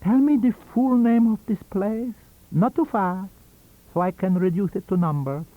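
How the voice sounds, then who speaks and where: A man voicing a cunning, wheezy old foreign scientist-magician in an audiobook, with a theatrical, halting and emphatic delivery. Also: high voice, pitched above the performer's own voice, lightly acted. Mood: eager. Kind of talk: audiobook character reading. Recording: dull lo-fi audio cassette.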